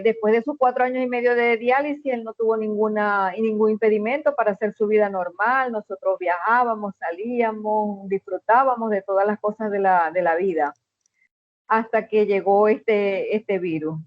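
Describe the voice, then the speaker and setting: A woman's voice: medium pitch, no room sound. Earnest middle-aged Venezuelan woman, muffled. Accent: Venezuelan Spanish. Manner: earnest, measured storytelling with "este" fillers. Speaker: guest. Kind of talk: interview, personal account.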